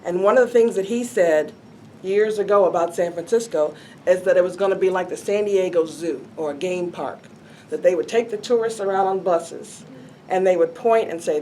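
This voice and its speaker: An older woman addresses a city hall meeting in a fairly low, full voice that carries without strain. She speaks with earnest emphasis. A faint hum of room noise sits behind her. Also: moderate pace. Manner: emphatic, earnest, self-described loud voice. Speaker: arts commissioner speaking at a public meeting. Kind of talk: public meeting statement.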